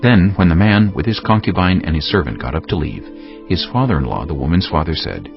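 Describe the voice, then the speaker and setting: A low, gravelly man narrates scripture solemnly and deliberately, with expressive rises and falls. Music plays clearly beneath his slightly muffled voice. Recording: muffled audio with prominent music underneath.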